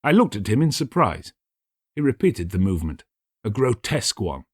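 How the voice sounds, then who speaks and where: A man narrating a literary story as an audiobook, in a measured, precise and theatrical way. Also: on the low side, very animated, husky, no echo, clean studio sound. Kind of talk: dramatic scripted literary narration.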